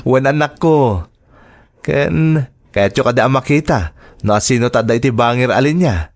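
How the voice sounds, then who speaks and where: A man with an Ilocano accent acting a gentle, devoted father in an audio drama, tender and reassuring. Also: mid-range voice, animated, a little slow. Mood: cheerful. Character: gentle, devoted father of young children, wife working abroad.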